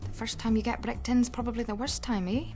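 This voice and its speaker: A woman's voice: on the high side, fairly even intonation, a little fast, a little mellow, lightly acted. A woman voicing a blunt, sarcastic young female student, in a video game cutscene with music under her.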